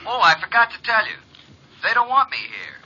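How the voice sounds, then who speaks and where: Wisecracking American male private eye, husky and animated, breezy and direct, vintage radio mystery with dull sound. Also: very high voice, a little fast, heavily acted. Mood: breezy, slangy. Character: brash wisecracking male private investigator, detective's assistant. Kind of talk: 1950s American radio drama acting. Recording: muffled vintage radio recording.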